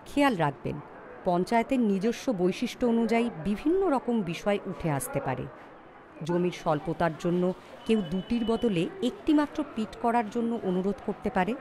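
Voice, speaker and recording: A woman's voice: medium pitch, lively intonation, normal speed, crowd noise. A woman with a West Bengal accent reading scripted documentary narration.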